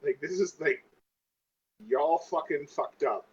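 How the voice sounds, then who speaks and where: A man's voice: a little high, slow, breathy edge. A man playing a tabletop game on a dull lo-fi channel, casually voicing his character's lines.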